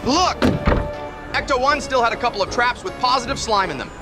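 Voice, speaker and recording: A man's voice: extremely high pitch, expressive, normal speed. A man playing a wry, wisecracking ghost hunter in a motion comic. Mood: wry, upbeat. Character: wisecracking, laid-back male ghost hunter. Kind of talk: animated motion comic acting. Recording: very loud music behind.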